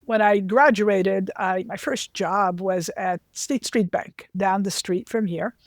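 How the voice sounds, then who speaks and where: A woman's voice: medium pitch, slow pace, dry recording. Candid older woman, dry close sound. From Lebanon. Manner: anecdotal, self-deprecating, with frequent asides to the host. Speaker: interview guest, finance executive. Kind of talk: candid career storytelling.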